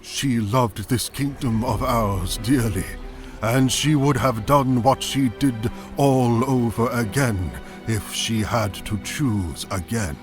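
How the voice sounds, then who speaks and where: A man playing a benevolent, solemn aging fish-folk king, stately and measured, in a fantasy audio drama. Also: medium pitch, over music, close to an everyday voice. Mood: gentle, sorrowful.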